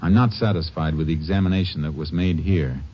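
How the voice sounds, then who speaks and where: Texas Ranger lead, male actor, American, very low voice, courteous and understated, vintage radio drama on muffled recording. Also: slight background noise, close to an everyday voice. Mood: solemn, gentle. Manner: measured, polite, understated. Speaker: lead actor and first-person narrator. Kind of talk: 1950s radio drama acting.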